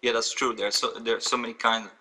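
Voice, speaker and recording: A man tells his stories fast and earnestly, his voice very bright and tense. He sits well back from the microphone on a remote call. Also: medium pitch, flat delivery, slightly rough, recorded from a distance. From France. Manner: earnest, fast, with many fillers. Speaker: guest. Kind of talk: storytelling interview answers.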